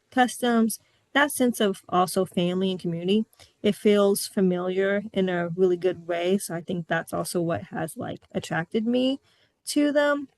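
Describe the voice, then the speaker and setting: A woman's voice: medium pitch, no echo. A young adult woman from the US Midwest hosting a podcast, telling personal stories in a candid, sassy way. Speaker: podcast host. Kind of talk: casual podcast chat.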